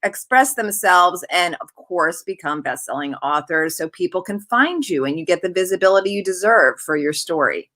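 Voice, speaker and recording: A woman's voice: lowish voice, animated, normal speed, few pauses, pushed and bright. A middle-aged woman guest telling her career story on a video call, passionate and earnest. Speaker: guest, author program founder. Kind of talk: personal story in a panel.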